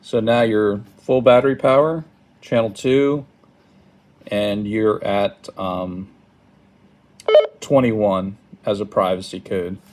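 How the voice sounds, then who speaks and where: Slow-talking low-voiced man, noisy recording. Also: fairly even intonation, darkish tone. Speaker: product tutorial presenter. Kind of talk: casual step-by-step how-to narration. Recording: clearly noisy home recording, button clicks.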